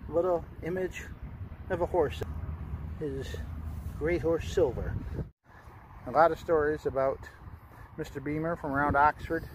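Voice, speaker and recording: A man's voice: fairly high pitch, fairly bright tone, a little hiss or hum, rough recording. An American man giving informal documentary narration in a folksy, reminiscing way. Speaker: local history video narrator.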